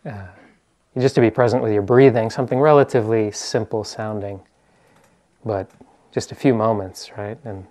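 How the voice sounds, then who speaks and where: Male meditation teacher, American, lowish voice, informal talk to a group, hesitant and searching for words. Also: fairly even intonation, moderate pace, warm tone. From US East Coast.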